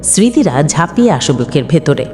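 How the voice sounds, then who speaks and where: A woman's voice: low voice, big pitch swings, brisk, darkish tone. A woman reading a scripted, emotional story as narrator. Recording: long reverb, music underneath. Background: background music.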